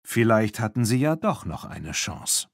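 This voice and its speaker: A man narrating a children's audiobook in an expressive, scripted storytelling style. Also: fairly low pitch, a little slow, no room sound, studio quality.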